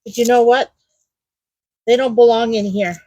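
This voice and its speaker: A woman chatting loudly while she works in her garden, explaining her steps as she digs. Her mic is clipped to a nearby trellis, and she rambles and hunts for words. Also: fairly high pitch, fairly even intonation, moderate pace, dry recording, low-quality recording. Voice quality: loud voice. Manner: talks while digging in the garden. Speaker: gardening vlogger. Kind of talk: casual how-to narration while working. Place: outdoor garden.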